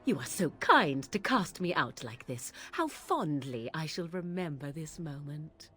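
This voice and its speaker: A woman's voice: low-pitched, big pitch swings, unhurried, a bit bright, husky, exaggerated voice. An actress playing a haughty, sardonic young witch in a video game, with formal, archaic speech. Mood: sarcastic. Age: young adult. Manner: formal, archaic, arch sarcasm. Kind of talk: video game dialogue acting.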